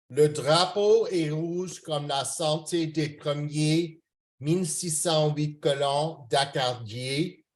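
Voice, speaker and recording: A man from Canada whose first language is French, reading his poetry at an open mic over a video call in a slow, careful and polite manner. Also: a little high.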